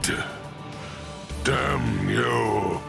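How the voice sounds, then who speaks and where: An actor playing an ancient, stern and proud warrior commander in an anime video game, with a gravelly voice and a grave, imperious delivery. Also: male voice, mid-range voice, very slow, music in the background, heavily acted. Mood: strained anger. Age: elderly. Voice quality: gravelly, creaky.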